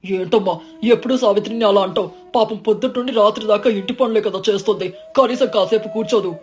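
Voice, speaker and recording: A woman's voice: medium pitch, fast, close to an everyday voice. Woman acting as mild young husband and son, protective, protesting, family story, music underneath. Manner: gently protesting. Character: mild henpecked adult son, protective of his wife.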